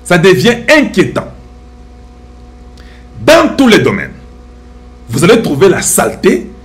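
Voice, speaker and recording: A man's voice: high-pitched, wildly swooping pitch, measured pace. A middle-aged man with a Congolese French accent giving impassioned, preachy social commentary to his viewers, full of rhetorical questions.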